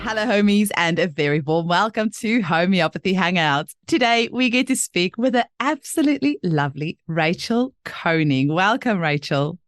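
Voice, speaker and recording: Low-voiced woman host. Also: expressive, moderate pace, hardly any pauses, no echo, studio quality, faint music behind. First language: Afrikaans. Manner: warm, gushing, laughs often. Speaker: podcast host. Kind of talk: casual interview chat.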